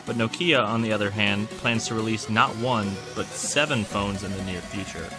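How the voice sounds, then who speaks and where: A man's voice: fairly low pitch, normal speed, music in the background. A man hosting a scripted product review, narrating with wry, joking asides. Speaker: tech reviewer and host.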